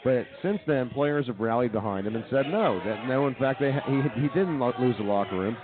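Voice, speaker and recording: A man's voice: mid-range voice, lively intonation, a little fast, over music. A man hosting a radio show, talking casually about sports and joking with co-hosts. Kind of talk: casual sports and comedy banter. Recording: tinny, narrow-band phone-like audio.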